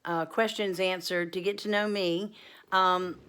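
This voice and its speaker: A woman in her fifties with a drawl talks straight to camera. Her voice sits on the lower side, and she rambles through a casual Q and A, filling pauses with ums and wandering off into asides. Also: steady tone, a bit bright, clean tone. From North Carolina, USA. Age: 50s. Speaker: homestead vlogger.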